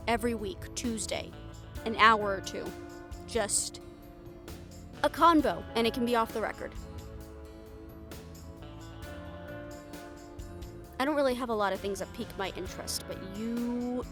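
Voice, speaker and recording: A woman's voice: mid-range voice, natural voice. A woman playing a bold, sarcastic, foul-mouthed reporter in an improvised audio roleplay, speaking in a candid, conversational way. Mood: hopeful, inviting. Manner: conversational, exasperated, candid, with sighs and swearing.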